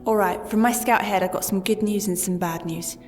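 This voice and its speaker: Quick, no-nonsense young half-elf rogue woman played by a woman; roomy, music under. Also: medium pitch, lightly acted. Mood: urgent. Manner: brisk, urgent reporting. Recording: distant, reverberant, music behind.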